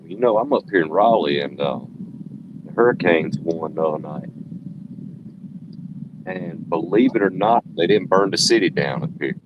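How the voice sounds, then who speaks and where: Very low-voiced guy on a noisy lo-fi call, dry jokey chat. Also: animated, moderate pace, long pauses. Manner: dry, joking. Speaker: guest. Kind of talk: casual chat. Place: Raleigh. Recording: dull lo-fi call with background noise.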